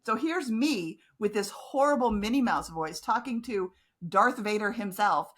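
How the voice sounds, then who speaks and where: A woman hosting a podcast, telling an anecdote in lively casual chat. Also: mid-range voice, unhurried. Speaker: podcast host.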